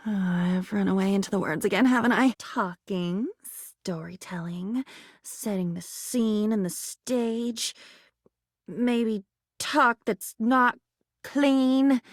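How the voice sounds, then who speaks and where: A woman's voice: mid-range voice, takes it slowly, dry recording, studio quality. A woman voicing a sweet, naive and chatty living diary in a video game, rambling with wide-eyed wonder. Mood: flirty, musing. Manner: breathless rambling, wide-eyed, swings from hushed to gushing.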